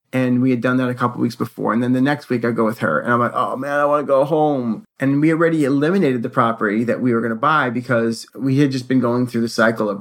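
A man with a creaky, gravelly edge to a mid-pitched voice tells a personal story as host. He speaks casually, as in conversation, and acts out the quoted lines as he retells them. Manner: animated retelling with acted-out quotes.

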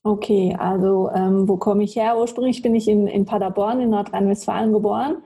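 A woman from Switzerland, a native Swiss German speaker, speaking conversationally as an interview guest with a husky voice. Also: medium pitch, fairly even intonation, brisk, mellow. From Switzerland, grew up in Zurich. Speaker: podcast guest. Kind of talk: conversational life-story interview.

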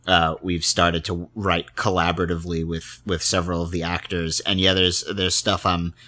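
A man hosting a relaxed interview chat, agreeable and a little halting as he talks. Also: low-pitched. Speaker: podcast host and interviewer.

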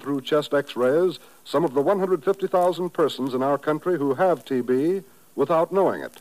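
A male American radio announcer with a medium-pitched voice. He delivers a scripted appeal to the public in the formal style of 1950s broadcasting. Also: darkish tone, poor audio. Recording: old radio recording.

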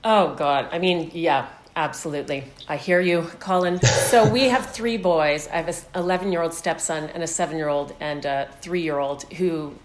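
A woman's voice: deep voice, normal speed, hardly any pauses. A middle-aged woman chatting casually as a guest, emphatic and humorously exasperated. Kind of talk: casual chat.

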